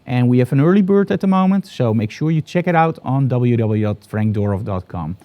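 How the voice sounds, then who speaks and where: A man's voice: mid-range voice, lively intonation, fairly quick, dull audio. A middle-aged man with a Dutch accent, hosting a live photography broadcast from his studio in an upbeat, enthusiastic, promotional way. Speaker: photography host.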